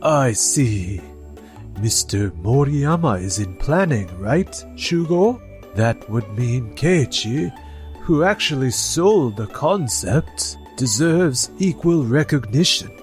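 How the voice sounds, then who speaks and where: An actor plays an elderly male company chairman from an anime office drama. He speaks slowly at a medium pitch, stern, measured and full of authority, over faint music. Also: animated. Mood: probing. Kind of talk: anime-style manga dub acting.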